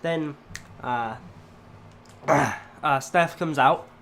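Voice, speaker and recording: A man's voice: on the high side, extremely slow, gravelly, a bit bright, slight background noise. A man co-hosting a casual wrestling review, laid-back and trailing off, a bit stuffy from a cold. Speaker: co-host. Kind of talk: casual chat.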